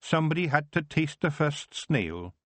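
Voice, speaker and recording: A man voicing a small, fierce Scottish clan chief who speaks gruffly and bluntly in broad dialect. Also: fairly high pitch, sing-song, normal speed, fairly bright tone, dry recording, voice pushed up. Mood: stern.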